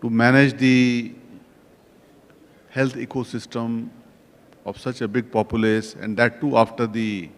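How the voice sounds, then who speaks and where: A man chairing a conference session, speaking slowly and deliberately, listing points with pauses. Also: medium pitch, little pitch movement. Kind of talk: formal conference address. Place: large conference hall. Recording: reverberant conference hall.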